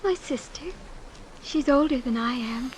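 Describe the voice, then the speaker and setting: A woman's voice: high voice, measured pace, slight background noise, poor audio. A woman playing a self-assured, sheltered teenage rich girl with artless candor, in old-time radio drama style. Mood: sweetly curious. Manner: artless, matter-of-fact candor.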